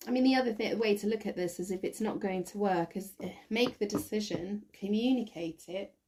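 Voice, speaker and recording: A woman with a croaky voice doing a casual tarot reading to camera, thinking aloud with many ums and restarts. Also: medium pitch, brisk, warm tone. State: croaky, recovering from bronchitis. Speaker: tarot reader.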